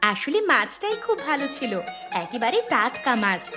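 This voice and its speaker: A woman's voice: a little high, big pitch swings, normal speed, over music. A woman playing an agreeable, chatty village homemaker talking with family in a cartoon story. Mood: contented. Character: agreeable, satisfied village daughter-in-law or daughter. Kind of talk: Bengali cartoon story acting.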